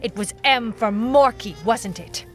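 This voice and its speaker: A woman's voice: a little high, measured pace, faint music behind, natural voice. A woman playing a wry, hard-boiled private eye, clipped and dry, in a noir detective audio drama. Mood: accusing. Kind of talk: noir detective narration and radio-drama acting.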